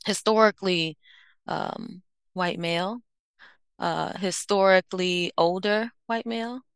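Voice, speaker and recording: Bright, crisp-voiced woman psychologist. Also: on the low side, no room sound, clean studio sound. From United States. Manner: thoughtful, with frequent fillers and self-corrections. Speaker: guest, I-O psychologist. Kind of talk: reflective interview answers.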